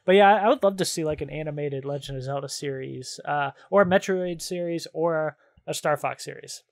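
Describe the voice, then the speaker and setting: A man's voice: fairly high pitch, brisk, creaky, no room sound, clean studio sound. A man chatting casually and enthusiastically as a podcast co-host, in informal slangy phrasing. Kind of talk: casual chat about games and films.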